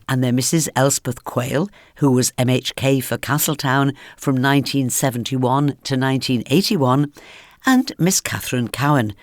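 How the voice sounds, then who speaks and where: A man's voice: mid-range voice, no echo, studio quality. A man presenting an archive radio programme, reading scripted links between items.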